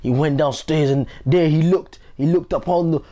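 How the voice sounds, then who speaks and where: A man's voice: fairly high pitch, normal speed, dark tone. A man giving a motivational speech, emphatic and exhortative, driving points home by repeating phrases. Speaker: motivational speaker. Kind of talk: motivational speech with acted storytelling.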